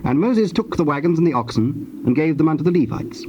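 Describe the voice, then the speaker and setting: Higher-voiced man narrating scripture, noisy tape. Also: lively intonation. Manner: measured, formal, solemn. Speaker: narrator of dramatized Bible. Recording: dull, noisy old cassette tape.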